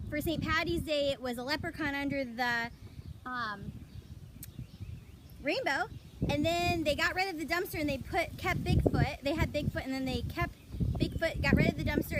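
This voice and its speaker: High-pitched woman reading aloud, noisy recording. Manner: expressive, chatty, pausing to explain pictures and words. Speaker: elementary school teacher reading to pupils. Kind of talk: children's picture book read-aloud with casual asides.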